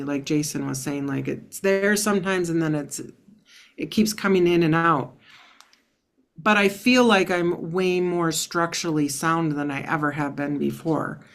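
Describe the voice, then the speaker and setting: Woman, low voice, casual, conversational personal account. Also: measured pace. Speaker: webinar participant.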